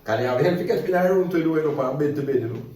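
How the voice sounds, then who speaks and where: A man with a Jamaican accent telling lively, laughing stories in a casual chat. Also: fairly high pitch, racing, a little hiss or hum. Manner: lively, laughing, animated storytelling in patois. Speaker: guest storyteller. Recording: roomy echo from a distance.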